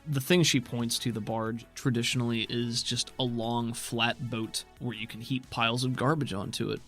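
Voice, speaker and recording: A man's voice: mid-range voice, fairly bright tone. A man narrating a tabletop game as game master, descriptive and conversational, improvising as he goes.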